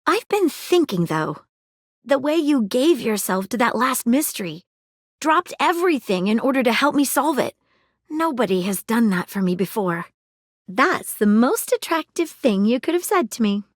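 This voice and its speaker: An eager little magnifying-glass detective in a video game, polite and precise, with a crisp manner and a quick apology always ready. Her voice sits a touch high and swoops all over the place, recorded close and dry with no room around it. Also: big pitch swings, no echo. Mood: earnest. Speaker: detective character. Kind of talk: video game character dialogue.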